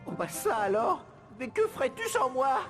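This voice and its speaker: A woman's voice: high voice, very animated, normal speed, faint music behind. A woman voicing a timid young boy sidekick in a French cartoon dub, fretful and stammering. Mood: anxious.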